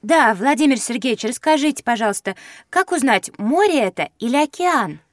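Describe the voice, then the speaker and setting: A woman's voice: on the high side, animated, moderate pace, dry recording, clean studio sound, close to an everyday voice. A woman voicing a polite, inquisitive little girl in an educational audio play for children. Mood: polite, eager.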